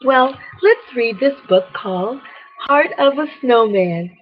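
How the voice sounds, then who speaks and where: Female children's storyteller: husky, very animated pitch, deliberate sing-song questions aimed at little listeners. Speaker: children's bedtime story host. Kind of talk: storytelling address to young children. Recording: dull, lo-fi recording.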